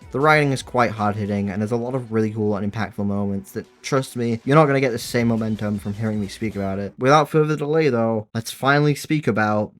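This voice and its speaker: Lower-pitched man narrating a video essay. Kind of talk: scripted narration with casual asides.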